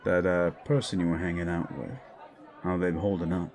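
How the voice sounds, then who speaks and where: A man playing a gruff, sardonic, unimpressed bar boss in an audio drama. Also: low voice, little pitch movement, normal speed, darkish tone, some chatter behind, pitched below the performer's own voice. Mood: resigned. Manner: dry, unimpressed. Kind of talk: scripted audio drama dialogue.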